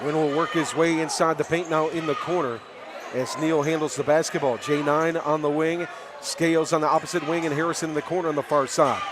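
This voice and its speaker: A man's voice: on the high side, steady tone, a little fast. A man doing live radio play-by-play of a basketball game, backing the home team and exclaiming on big plays. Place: high school basketball gym. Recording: loud crowd and bouncing balls in gym. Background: loud crowd noise, basketball bounces.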